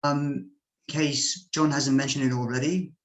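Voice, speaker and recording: Slow, careful man reading poems. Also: mid-range voice, fairly even intonation, fairly bright tone, poor audio. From Bosnia. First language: Serbian. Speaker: poet reading at an online open mic. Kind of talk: slow measured poetry reading.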